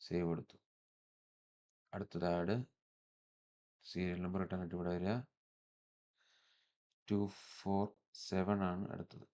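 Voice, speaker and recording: A man with a Malayali accent presenting a step-by-step software tutorial in an instructional manner, mixing in English technical terms. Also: low-pitched, level tone, unhurried, pauses often.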